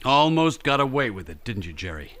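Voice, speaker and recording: A man's voice: medium pitch, sing-song, brisk, a bit bright. An actor playing a rich American man and his crooked double, delivered emphatically in old radio drama style. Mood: bitter, subdued.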